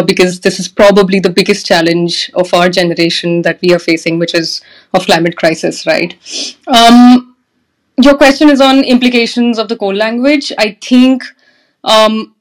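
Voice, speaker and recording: A woman's voice: mid-range voice, poor audio. A woman speaking as an energy expert on a discussion panel, enthusiastic, with frequent fillers and restarts. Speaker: panel guest, energy manager.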